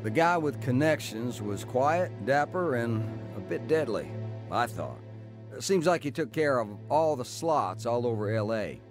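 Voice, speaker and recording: An actor playing a tough, plainspoken ex-criminal in a dramatized radio story. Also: male voice, medium pitch, sing-song, unhurried, music in the background. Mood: wry, matter-of-fact. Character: tough male ex-criminal recounting his bootlegging youth. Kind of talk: dramatized first-person narration and dialogue.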